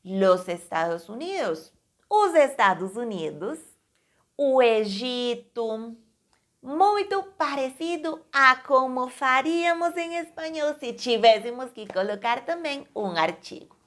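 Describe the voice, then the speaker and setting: A woman teaches in an unhurried, deliberate way. Her voice sits on the high side, and she spells out each word clearly for learners. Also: expressive. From Colombia. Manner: slow, careful, clearly enunciated teaching. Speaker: Portuguese teacher. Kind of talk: online language lesson.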